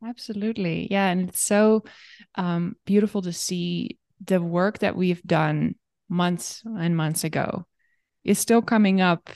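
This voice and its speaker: A woman career coach hosting her podcast, speaking upbeat and stressing key words as she pitches her program and questions her guest. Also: unhurried, a little mellow, no room sound, clean studio sound. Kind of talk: podcast host promo and interview.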